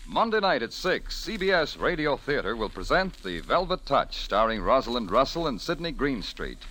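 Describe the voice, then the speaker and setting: A man's voice: medium pitch, sing-song, moderate pace, bright, forceful tone, poor audio. An American man working as a radio announcer, reading a script in 1940s broadcast style. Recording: vintage radio recording.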